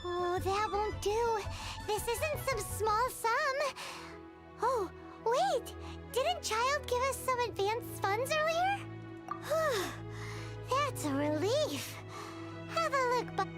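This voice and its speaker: Squeaky, cheeky little fairy girl. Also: lively intonation, takes it slowly, loud music behind the voice, exaggerated voice. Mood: indignant. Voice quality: squeaky childlike voice. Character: tiny childlike fairy companion girl, cheeky and excitable. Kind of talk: anime-style video game dialogue acting.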